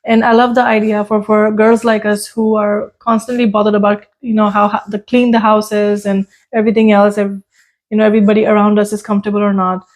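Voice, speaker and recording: Woman hosting a chill podcast, chatty, lots of um and you know, mid pitch. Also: little pitch movement, brisk. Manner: warm greeting, conversational with fillers and repeats. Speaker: podcast host. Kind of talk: casual podcast chat.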